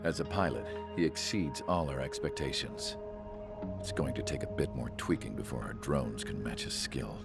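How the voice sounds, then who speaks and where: An actor playing a grave, reflective male scientist who narrates video game cutscenes in a measured, solemn way. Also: rumbling low. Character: grave, reflective male drone researcher, admiring yet concerned. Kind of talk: scripted first-person cutscene narration. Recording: loud music under the voice. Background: loud game soundtrack music.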